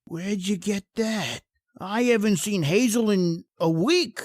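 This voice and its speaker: Wry, higher-voiced street painter, acted by a man. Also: expressive, takes it slowly, darkish tone, dry recording, studio quality. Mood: alarmed, suspicious. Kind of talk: old-time radio drama acting.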